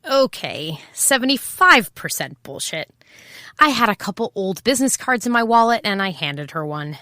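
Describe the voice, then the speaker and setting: Wry female private eye, forceful bright voice. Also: mid-range voice, big pitch swings, moderate pace, no echo, studio quality. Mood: sardonic. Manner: sardonic, confiding, casual. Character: wry, impulsive female private eye, over twenty-seven. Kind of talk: first-person noir audio drama narration and dialogue.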